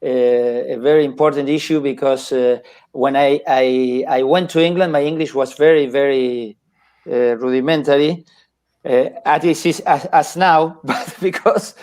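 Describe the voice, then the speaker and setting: An older man from Argentina, talking as a guest author on a video call, halting and restarting often with small chuckles. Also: mid-range voice, fairly even intonation, darkish tone. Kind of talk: casual chat on a video call.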